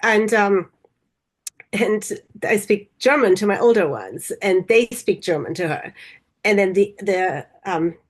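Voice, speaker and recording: A woman hosting a podcast, talking in a relaxed, reflective way with many fillers. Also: mid-range voice, unhurried. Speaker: podcast host. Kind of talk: casual chat.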